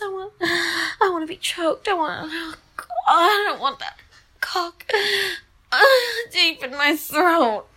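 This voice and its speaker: Very high, slow, breathy young woman. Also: bright, forceful tone.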